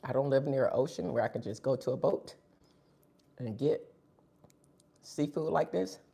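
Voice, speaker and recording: Live-stream host, husky and dark-toned, sniffly, chatty eating banter. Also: mid-range voice, normal speed. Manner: chatty, talks between bites. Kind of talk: casual live-stream chat while eating.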